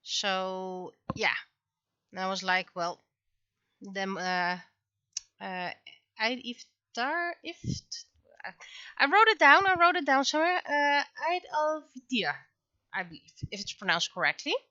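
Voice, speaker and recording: Casting: woman, bright tone, casual streamer chatting in halting bursts while she works, very dry close sound. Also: mid-range voice, lively intonation, smooth, no echo, muffled recording. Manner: halting, thinking aloud. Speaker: livestreaming webcomic artist. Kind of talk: casual livestream chat while drawing.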